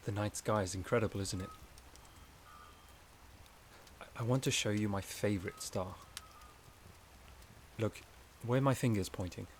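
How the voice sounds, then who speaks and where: A man playing a gentle, shy, caring forest companion in a soft-spoken ASMR roleplay, with a hesitant, slightly stammering delivery. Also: lowish voice, moderate pace, a little hiss or hum, close to an everyday voice. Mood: quietly enchanted.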